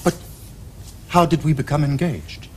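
An actor playing an ardent young Victorian gentleman suitor in a period comedy, with earnest, flowery, theatrical Received Pronunciation. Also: on the high side, a little slow, slight background noise, close to an everyday voice. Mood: eager, surprised. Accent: English Received Pronunciation. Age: young adult. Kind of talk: period stage comedy acting for television.

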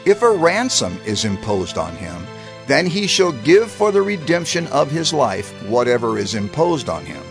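Man giving a lively, solemn scripture reading. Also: mid-range voice, normal speed, music in the background. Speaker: scripture reader.

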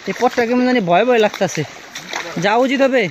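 A young man from Bangladesh filming a forest hike on his phone, exclaiming and joking with friends as he wades through a stream. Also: very high voice. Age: young adult. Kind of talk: excited handheld travel commentary. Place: outdoors in a forest stream by a waterfall. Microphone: phone camera held at arm's length. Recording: outdoor phone video with loud stream noise. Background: rushing water and splashing.

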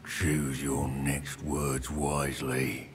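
A man's voice: rumbling low, flat delivery, very slow, faint music behind, heavily acted. A man playing a coldly arrogant, sardonic and threatening goblin rebel leader in a fantasy game. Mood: cold threat. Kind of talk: cinematic fantasy game acting.

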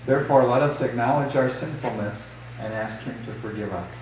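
A middle-aged man, a pastor, leading liturgy and reading scripture in a measured, solemn way. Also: medium pitch, darkish tone, slight background noise. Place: small church sanctuary. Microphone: distant camera, later lectern mic. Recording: echoey church from a distance.

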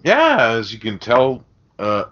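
A man's voice: mid-range voice, huge pitch swings, slow, a bit bright. A man hosting a casual podcast, addressing guests and listeners in an informal, chummy way. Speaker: podcast host. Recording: muffled, lo-fi podcast audio.

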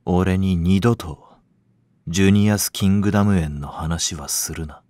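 A man's voice: low-pitched, a little slow, darkish tone, no room sound. An actor playing a blunt, lazy, jaded male nursery teacher in a Japanese voice drama, talking offhandedly. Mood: curt, irritated. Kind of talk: Japanese anime-style voice drama.

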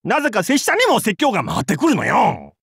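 A middle-aged, nerdy pirate from an anime game. He is goofy and slightly creepy, talking in theatrical slang. His raspy voice leaps extremely in pitch, in a dry recording. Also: wildly swooping pitch, fairly quick, fairly bright tone, dry recording, heavily acted. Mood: panicked, clownish. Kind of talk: anime game character lines.

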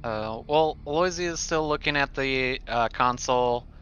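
Male player, mid pitch, casual game-table talk, faint ambient noise. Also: moderate pace, a bit bright, muffled recording.

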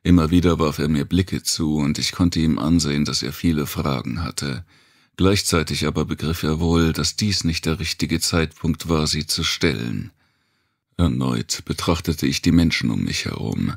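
A man narrating a post-apocalyptic thriller audiobook in the first person, with a measured, deliberate delivery and dramatic pauses. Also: rumbling low, vocal fry, dry recording, clean studio sound.

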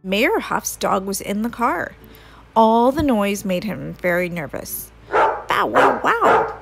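A woman reading a children's story aloud as an expressive storyteller. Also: medium pitch, a little slow, gravelly, over music.

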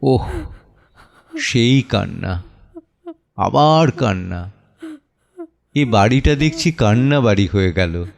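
Male actor as weary anguished father, very slow, lowish voice, theatrical pauses, audio play. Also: animated, vocal fry, heavily acted. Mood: exasperated, pleading. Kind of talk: dramatic audio play monologue.

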